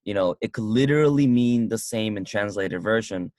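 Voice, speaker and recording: Deep-voiced man in casual film chat. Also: a little mellow, dry recording, clean studio sound. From United States. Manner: halting, many restarts and fillers. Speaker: co-host reviewing a film. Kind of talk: casual movie review chat. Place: car.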